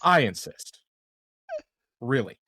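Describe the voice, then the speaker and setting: A man's voice: mid-range voice, sing-song, slow, pushed and bright, no room sound, studio quality. A man playing a tabletop game, chatting casually in a playful, joking way.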